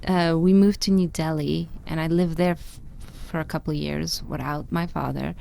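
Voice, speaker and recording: A woman's voice: sits low, normal speed, gravelly, slight background noise. A woman from Afghanistan, a podcast guest telling her life story candidly and animatedly. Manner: animated, acts out voices in her stories.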